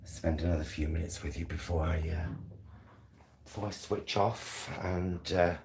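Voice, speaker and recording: British male vlogger, lowish voice, unhurried hesitant rambling chat, echoey indoor sound. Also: steady tone, a little mellow, a little hiss or hum. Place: indoors at home. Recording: echoey room.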